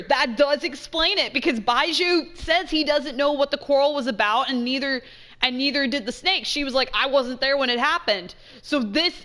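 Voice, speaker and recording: A bright, fairly high-pitched woman gives casual live commentary on a game. She talks like a streamer thinking aloud, repeating phrases for emphasis.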